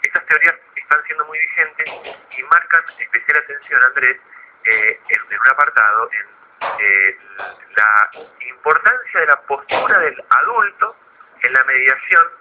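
An Argentine man, a guest psychologist in a radio interview, explaining in a didactic but halting way with repeated words. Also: medium pitch, lively intonation, slight background noise. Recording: phone-like narrow radio line.

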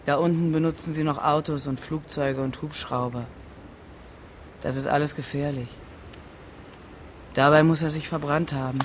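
An actress's radio-play witness, a married woman who is defiant and sharp-tongued. She answers curtly, with sarcasm and evasion. Light background noise sits under her voice. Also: low-pitched, fairly even intonation, moderate pace, long pauses, natural voice. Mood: sarcastic, evasive. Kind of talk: German radio play acting.

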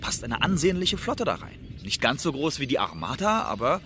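An actor in a radio play playing a restless, hunted spy and would-be playwright, agitated and pleading in a theatrical way. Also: male voice, mid-range voice, wildly swooping pitch, normal speed, heavy background noise. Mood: intent, explaining.

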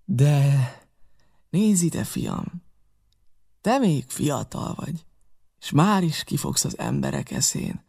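Guy reading sly old pirate cook, wheedling and bragging, flattering the young ones. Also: a little high, vocal fry, long pauses, breathy edge, exaggerated voice. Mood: sly boasting. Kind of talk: audiobook character reading.

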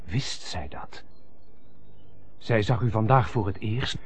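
An actor in a radio drama playing a loyal, persuasive friend and go-between, speaking in earnest, formal rhetoric. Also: male voice, on the low side, animated, slow, breathy edge, full character voice. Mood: impassioned pleading. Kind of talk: classic European radio drama acting. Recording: dull lo-fi old radio recording.

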